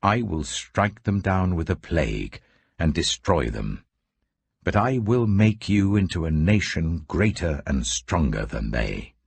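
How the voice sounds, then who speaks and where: British male narrator as wrathful scripture God, extremely low, weighty, commanding. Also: very animated, unhurried, vocal fry, husky, dry recording, full character voice.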